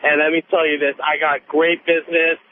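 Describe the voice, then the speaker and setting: A man's voice: fairly high pitch, little pitch movement, moderate pace. A nineteen-year-old American man calling a radio show, chatting casually in a cocky, emphatic, rambling way. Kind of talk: casual phone-in chat. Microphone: telephone. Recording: narrow noisy phone line on radio.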